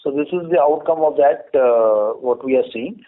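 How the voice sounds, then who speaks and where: Male executive adding remarks on an earnings call, mid-pitched, halting, narrow telephone sound. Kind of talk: business explanation. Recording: narrow muffled phone conference line.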